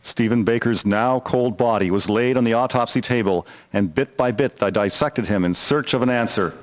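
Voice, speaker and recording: A man's voice: mid-range voice, normal speed. A man narrating a scripted historical story as its storyteller. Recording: music behind the voice. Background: guitar music.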